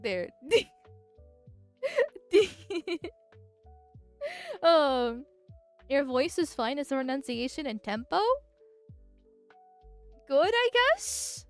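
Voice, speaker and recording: A woman streamer with a nasal voice, chatting casually in a rambling, giggly way with frequent filler words. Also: high-pitched. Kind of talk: casual livestream chat.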